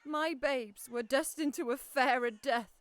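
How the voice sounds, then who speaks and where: A woman's voice: high voice, a little slow, dry recording. An actress playing a widowed queen who mourns her sons and accuses the king in impassioned verse. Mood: defiant grief. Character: grieving widowed queen, fierce mother. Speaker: actor. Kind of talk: Shakespearean audio drama.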